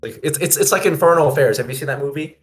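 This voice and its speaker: A man who plays in a tabletop game, rambling through his thoughts with halting restarts and lots of "like". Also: a little high. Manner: rambling, halting, many fillers. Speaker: player. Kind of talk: casual post-game chat.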